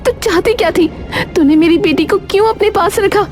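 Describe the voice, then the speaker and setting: A woman's voice: very high-pitched, normal speed, music in the background, pitched above the performer's own voice. A woman acting an anguished, protective young mother in a cartoon moral story, emotional and pleading. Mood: indignant.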